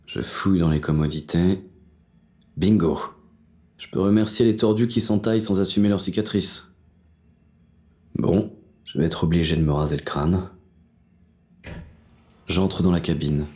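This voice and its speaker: A man's voice: deep voice, a little mellow. A man playing a cynical, wounded fugitive in noir audio fiction, narrating in the first person with crude, terse French slang. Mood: weary, grim, then irritated. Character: hard-boiled, cynical, wounded male fugitive with a gun. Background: music.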